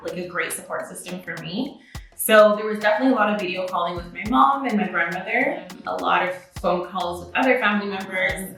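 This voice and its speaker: A woman's voice: lowish voice, fairly bright tone, distant mic. A young adult woman who speaks French as her first language, chatting upbeat and casual to the camera as a makeup artist and beauty vlogger. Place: indoor home studio with a makeup setup. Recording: echoey room, mic set back.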